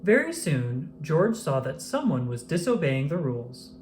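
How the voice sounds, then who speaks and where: A narrator reading a children's picture book aloud in an expressive, measured storytelling style. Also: mid-range voice, soft background music.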